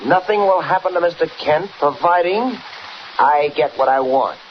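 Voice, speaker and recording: An American actor playing a scheming, blackmailing park owner in 1940s radio style, mock-polite and menacing. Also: male voice, a little high, normal speed, natural voice. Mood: coolly menacing. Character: scheming male amusement park owner, smug blackmailing villain. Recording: noisy, muffled old 1940s radio recording.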